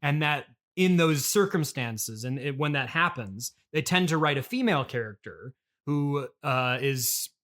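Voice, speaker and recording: A man hosting a casual comedy interview in a halting, stammering, agreeable way. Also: on the high side, animated, fairly bright tone. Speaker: interviewer and host.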